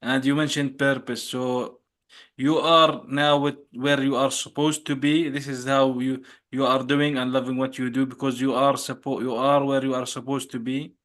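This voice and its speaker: A man's voice: medium pitch, level tone, normal speed, a bit bright. A man hosting an interview podcast, reading the guest's introduction with small slips and corrections. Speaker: podcast host.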